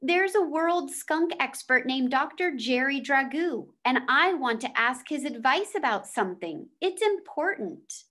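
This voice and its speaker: A woman's voice: high-pitched, expressive, a little slow, few pauses, fairly bright tone, pitched above the performer's own voice. A woman voicing an earnest, literal-minded young schoolboy in a children's storybook, with precise wording.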